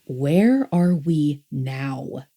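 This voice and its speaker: A woman's voice: low-pitched, animated, very slow, mellow, exaggerated voice. A woman playing a haughty, easily horrified passenger of a future world in an audiobook drama, with an imperious, disdainful manner. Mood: curt, lofty. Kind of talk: audiobook full-cast drama acting.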